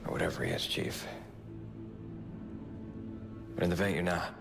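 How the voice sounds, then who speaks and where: An earnest naval commander. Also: male voice, deep voice, fairly even intonation, creaky, loud music behind the voice. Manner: measured, earnest. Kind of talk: video game cutscene acting.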